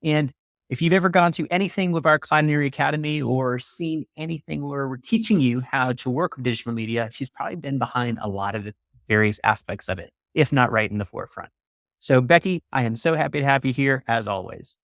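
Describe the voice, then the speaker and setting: A man with a medium-pitched voice hosts an interview show. He is enthusiastic and wordy, explaining things in full sentences. The sound is dry, with very little room on it. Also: fairly quick, vocal fry, a bit bright, no echo. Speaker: podcast host. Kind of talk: upbeat hosted interview talk.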